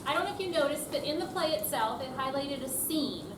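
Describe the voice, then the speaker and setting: A woman's voice: sits high, lively intonation, fairly quick. A woman answering audience questions informally and conversationally as a member of a theater company. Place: room at a senior center. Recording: roomy, distant mic, noisy.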